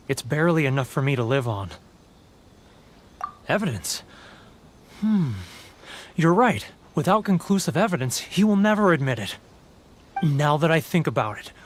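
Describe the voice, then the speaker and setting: A man's voice: on the high side, a little hiss or hum, lightly acted. An actor playing a homesick, guilt-ridden male merchant in a game, mournful and prone to stammering. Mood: confessing. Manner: mournful, stammering, self-pitying. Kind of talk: video game cutscene dialogue.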